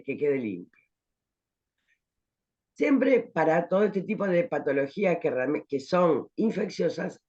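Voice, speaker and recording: A woman's voice: lowish voice, a little mellow. Woman teacher, explanatory, checks in with "¿eh?", dull online-call sound. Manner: explanatory, rhetorical questions, frequent "¿eh?" tags. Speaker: pharmacology teacher. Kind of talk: online class lecture.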